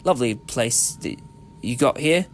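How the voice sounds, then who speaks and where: An actor playing an eccentric, quick-witted male adventurer in a fan audio drama, in a jaunty theatrical manner. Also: mid-range voice, expressive, slight background noise. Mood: jaunty, improvising.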